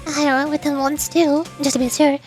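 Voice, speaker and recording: A woman's voice: sits high, flat delivery, normal speed, darkish tone, faint music behind, natural voice. A woman voicing an earnest young female instructor in a game story, eager and a little hesitant.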